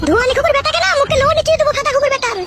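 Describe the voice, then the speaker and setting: A woman voicing a furious, sharp-tongued young village wife in a comic cartoon, shrill and cursing, with a rural West Bengal accent. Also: racing, very noisy.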